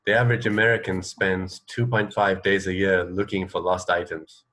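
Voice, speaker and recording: A man's voice: deep voice, flat delivery, normal speed, fairly bright tone. A man co-hosting a radio show, chatting casually about trivia in a hesitant, mildly wry way. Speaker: radio co-host. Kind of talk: casual chat, sharing trivia.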